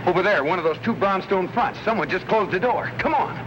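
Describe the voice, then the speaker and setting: An actor playing a steady, protective, mildly exasperated husband and amateur sleuth in an old-time radio mystery. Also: male voice, sits high, a little fast, noisy background, natural voice. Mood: urgent. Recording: old radio broadcast with music.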